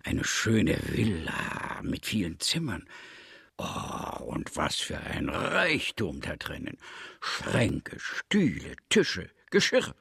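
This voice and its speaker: A hospitable old villager in a radio drama, pious and fond of talking. His low voice is gravelly and moves dramatically up and down as he declaims, recorded close and dry. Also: sing-song, takes it slowly, fairly bright tone, no room sound, exaggerated voice. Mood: gleeful, boastful. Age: older. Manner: expansive, storytelling, declamatory. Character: talkative, pious old villager grown rich, eagerly hospitable.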